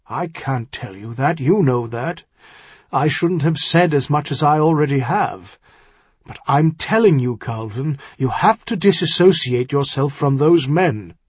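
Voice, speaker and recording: A man's voice: mid-range voice, lively intonation, unhurried, slightly rough, no echo, lo-fi sound. A man voicing an earnest, exasperated older earl and worried father-in-law in an audiobook, urgent and insistent. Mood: grave, urgent. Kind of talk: audiobook character dialogue.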